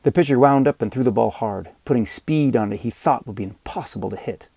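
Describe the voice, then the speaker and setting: A man narrating scripted fiction as an audiobook reader, with measured, dramatic storytelling. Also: mid-range voice, dark tone, slightly rough, no room sound.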